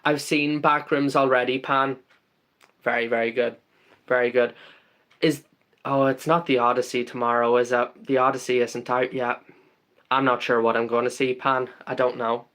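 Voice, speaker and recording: A man's voice: mid-range voice, little pitch movement, moderate pace, a bit bright. A man from the UK hosting a casual live stream, greeting viewers by name and rambling with lots of ums and uhs.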